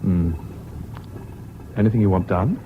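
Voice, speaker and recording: Steady sensible british officer, measured, caring, old radio drama, muffled n bit noisy. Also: male voice, sits low. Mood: friendly, careful. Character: level-headed, concerned British officer and old school friend. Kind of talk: 1970s BBC radio drama acting.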